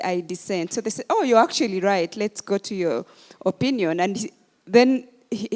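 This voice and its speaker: A woman with a medium-pitched voice takes the floor at a conference. She speaks as an audience member laying out a question, and the big hall leaves long reverb on her words. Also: a little mellow. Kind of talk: spoken question with anecdote from the floor. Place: large conference hall, public address.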